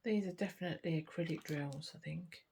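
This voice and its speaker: A woman's voice: low voice, a little fast, mellow, slight background noise. A woman chatting casually to camera while she works on a craft kit, murmuring and trailing off, a little sniffly. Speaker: craft vlogger.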